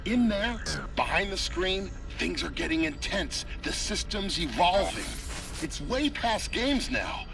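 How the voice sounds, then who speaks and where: Musing, higher-voiced computer visionary. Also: male voice, sing-song, creaky, recorded from a distance, loud music behind the voice, exaggerated voice. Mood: awed, intense. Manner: halting, musing, laid-back slangy delivery. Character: earnest, awed middle-aged male computer visionary recording diary. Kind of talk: dramatic video game cutscene acting.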